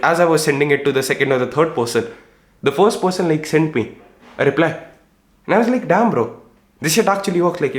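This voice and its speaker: A young man from India with an Indian accent, talking casually and excitedly to camera about his startup, full of slang, swearing and fillers. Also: mid-range voice, reverberant. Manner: excited, slangy, swears a lot, lots of "like" and "bro". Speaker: startup founder. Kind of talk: casual vlog talk to camera.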